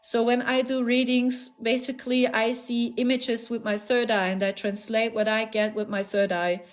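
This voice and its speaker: A woman's voice: a little high, little pitch movement, moderate pace. A woman with a German accent hosting a live stream as a spiritual channeler, talking in an earnest, repetitive, soothing way with frequent little laughs. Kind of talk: casual live-stream talk.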